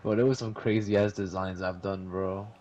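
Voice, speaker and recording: A man's voice: low voice, steady tone, moderate pace, darkish tone, highs cut off, a little hiss or hum. A man chatting casually with friends, slangy and profane, chiming in on someone else's story.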